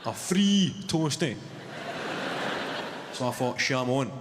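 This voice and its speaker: Young Glaswegian stand-up man, deadpan and sweary, live arena with heavy noise. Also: medium pitch, slow pace, in a large hall. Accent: Glaswegian Scottish. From Scotland. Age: 20s. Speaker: stand-up comedian. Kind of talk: stand-up comedy set. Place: large arena on stage. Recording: live arena with loud crowd. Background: audience laughter.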